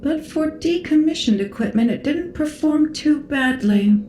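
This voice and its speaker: An actress playing a wry, self-assured engineer in a video game, speaking in a teasing, nonchalant way. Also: female voice, sits high, expressive, a little slow, warm tone. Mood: wry, playful. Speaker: game character. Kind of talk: scripted video game dialogue. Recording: roomy echo, faint music.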